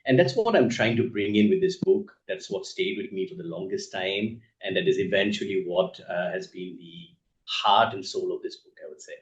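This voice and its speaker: A man from northeast India with an Indian accent, giving reflective, thoughtful interview answers as an author, measured and pausing mid-sentence. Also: sits low, lively intonation. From Assam, northeast India. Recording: echoey room, dull muffled sound.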